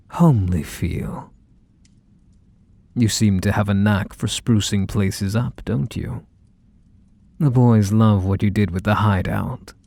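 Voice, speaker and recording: Man acting a slow, low-voiced possessive mafia boss. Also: creaky, warm tone, no echo, full character voice. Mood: casually menacing. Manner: unhurried, mock-sweet, condescending pet names. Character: possessive, teasing, menacingly affectionate male mafia boss lover. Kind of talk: ASMR roleplay, intimate one-sided dialogue.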